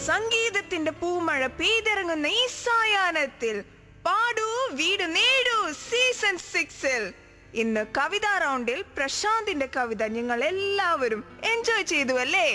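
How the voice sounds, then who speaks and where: An actress playing an excitable female singing contest host in a radio drama, gushing and drawing out announcements theatrically in a Malayali accent. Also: very high-pitched, measured pace, soft background music, full character voice. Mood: gushing, excited. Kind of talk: showy reality show presenting in a radio drama.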